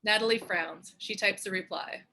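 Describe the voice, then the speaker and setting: Bright-voiced woman narrating stage directions. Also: mid-range voice, normal speed, slight background noise. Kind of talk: scripted narration.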